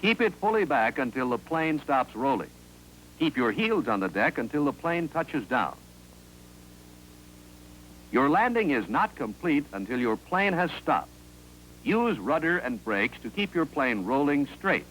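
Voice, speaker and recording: An American man reading scripted narration for a 1950s instructional film in a clear, authoritative, instructive manner, on an old film soundtrack. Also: medium pitch, sing-song, unhurried, a bit bright, a little hiss or hum, rough recording.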